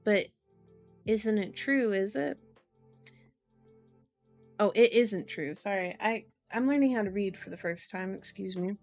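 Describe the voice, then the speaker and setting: A woman's voice: medium pitch, unhurried, long pauses, a little mellow, soft background music. A woman reading a novel aloud in an ASMR style, with informal, giggly asides, as a streamer. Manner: giggly, self-interrupting, informal.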